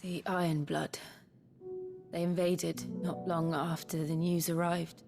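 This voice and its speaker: A gentle young noblewoman in a game cutscene. She speaks slowly in a slightly low register, soft and solemn. Faint music sits underneath. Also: level tone, gravelly. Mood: somber. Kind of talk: video game cutscene acting.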